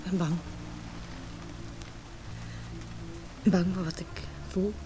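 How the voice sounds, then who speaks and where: A woman's voice: on the low side, fairly even intonation, brisk, over music, lightly acted. An actress in a Dutch radio drama playing a vulnerable, earnest married woman torn by forbidden love. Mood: anxious, confessing. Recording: noisy radio play recording.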